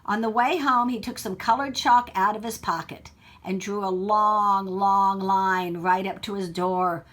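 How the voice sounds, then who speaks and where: A woman reading a story aloud to children like a teacher, expressive, with explanatory asides and questions for young listeners. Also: medium pitch. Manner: expressive, child-directed, pausing to ask questions.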